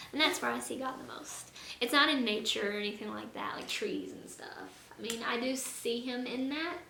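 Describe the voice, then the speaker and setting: Hoarse young woman vlogging, kinda flat tone, earnest and rambly, echoey room w a bit of hiss. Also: a little high. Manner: earnest, rambling, lots of fillers. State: hoarse, losing her voice. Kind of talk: casual faith vlog. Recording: echoey room, faint noise.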